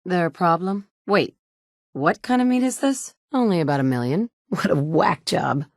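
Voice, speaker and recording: A woman's voice: on the low side, animated, moderate pace, no room sound. A woman voicing a player character in a video game, delivering short, dry, sardonic lines as a tough, wisecracking adventurer. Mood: cool.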